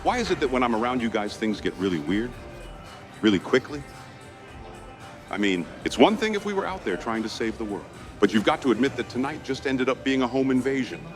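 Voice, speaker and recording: A man's voice: medium pitch, very animated, brisk, lightly acted. An actor voicing a down-to-earth, exasperated male ghost hunter, plain-spoken and wryly grumbling, in a motion comic. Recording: loud music bed under voice.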